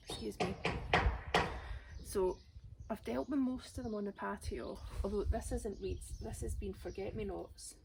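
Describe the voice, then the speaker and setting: Raspy-voiced woman in her garden. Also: medium pitch, takes it slowly, long pauses, dark tone, a little hiss or hum, rough recording. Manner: chatty, thinking aloud as she works. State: raspy voice today. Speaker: gardening vlogger. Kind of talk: casual chat while gardening. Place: outdoor garden. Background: birdsong.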